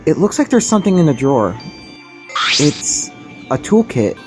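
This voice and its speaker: A man's voice: fairly high pitch, very animated, moderate pace. A man playing an earnest, bewildered ordinary teenage boy who narrates his own ordeal in an anime game fandub. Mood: puzzled. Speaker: protagonist and narrator. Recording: music behind the voice.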